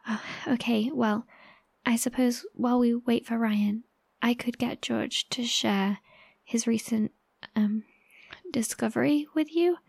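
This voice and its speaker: A woman's voice: a little high, a little slow, gravelly, darkish tone, husky, no echo, full character voice. A woman acting in an audio drama as an anxious, caring radio host to survivors, with a hesitant manner. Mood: awkward, apologetic.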